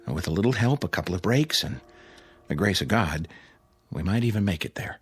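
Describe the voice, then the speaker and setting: An actor in a radio drama playing an anxious test pilot desperate to escape, tense and questioning. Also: male voice, fairly low pitch, expressive, brisk, close to an everyday voice. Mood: anxious, grave.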